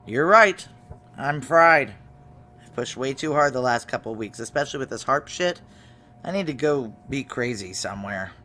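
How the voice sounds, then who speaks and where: A woman's voice: very deep voice, animated, moderate pace, pushed and bright, a little hiss or hum, heavily acted. A woman acting a tough, guarded female security chief in a noir audio drama, terse, wry and confiding. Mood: weary, insistent. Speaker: lead character and narrator.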